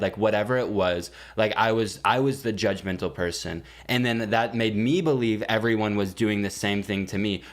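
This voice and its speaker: A blunt, sweary man co-hosting a casual comedic podcast. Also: fairly low pitch. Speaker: podcast co-host.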